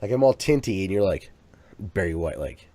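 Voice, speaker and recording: A man's voice: deep voice, lively intonation, normal speed, dark tone, no echo. A man co-hosting a podcast in casual chat, rambling along with plenty of restarts and fillers. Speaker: podcast co-host. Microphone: USB microphone.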